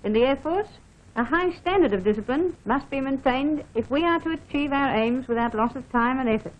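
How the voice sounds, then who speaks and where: High-pitched woman narrating a vintage training film. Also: lively intonation, brisk. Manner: formal, instructive, addressing the listener directly. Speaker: training film narrator, air force officer. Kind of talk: scripted instructional film narration. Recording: dull band-limited vintage film soundtrack.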